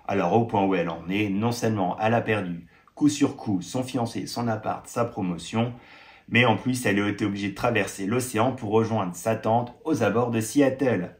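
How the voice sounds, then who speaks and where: A young man talks casually about new books, reading summaries and breaking off into playful, exaggerated asides. His voice sits fairly low. The room around him is noticeably echoey. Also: a little mellow. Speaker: book vlogger. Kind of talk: casual book review, reading blurbs aloud.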